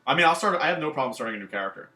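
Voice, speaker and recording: A man's voice: fairly high pitch, animated, racing, sharp tone. A man co-hosting a gaming channel, chatting casually with lots of "um" and "like" fillers. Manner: rambling, many fillers like "um" and "like". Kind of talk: casual chat about video games. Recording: echoey room.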